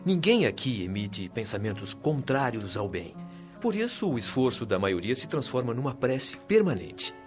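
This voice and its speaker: A man playing a kindly spirit guide in a radio drama, with very animated intonation. He speaks with a Brazilian accent, genial and encouraging. Prominent music sits behind the slightly dull audio. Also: mid-range voice, moderate pace, close to an everyday voice. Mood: serene explaining.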